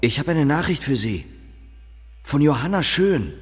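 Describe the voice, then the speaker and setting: A man's voice: fairly high pitch, expressive, measured pace, soft background music. An actor playing a guarded East German policeman in a radio drama, terse and matter-of-fact. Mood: sober, controlled. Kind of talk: radio drama acting with inner monologue.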